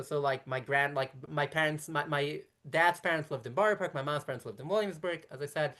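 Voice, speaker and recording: A woman in her thirties from Brooklyn whose first language is Yiddish, speaking as a rabbi in a conversational interview and explaining at length in quick, self-correcting runs. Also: low-pitched, a bit bright. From Brooklyn, New York. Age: 30s. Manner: talks quickly in long explanatory runs with frequent restarts.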